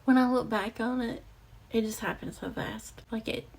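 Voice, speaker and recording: A young woman with a raspy voice telling a personal story to her viewers, halting and reflective, with many fillers and restarts. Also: a little high, fairly even intonation. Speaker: vlogger. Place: outdoors or near a street with passing cars. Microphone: close mic.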